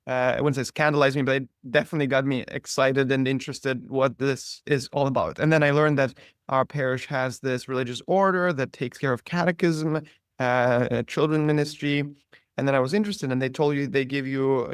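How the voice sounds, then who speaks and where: A priest with a Ukrainian accent recounts his story as an interview guest, his voice smooth and clear at an ordinary middle pitch. He talks in a relaxed, conversational way, pausing on fillers and restarting phrases. The recording is dry and close, with no room sound. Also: dry recording.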